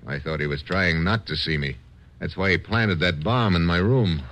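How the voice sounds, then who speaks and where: An actor playing a tough, wry American adventurer in a 1950s radio drama, narrating and talking in terse, deadpan lines. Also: male voice, low voice, moderate pace. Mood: wary. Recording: old radio recording with music.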